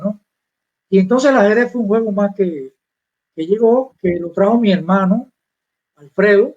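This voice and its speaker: A man's voice: high-pitched, darkish tone, highs cut off. An older man from Cuba with a Cuban Spanish accent, telling about his beginnings as a guest on a live interview, proud and rambling with restarts. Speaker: guest chess master.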